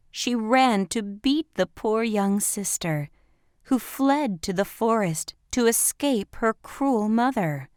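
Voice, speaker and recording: A woman narrating a children's bedtime story in an expressive, measured storybook style. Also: medium pitch, no echo, clean studio sound.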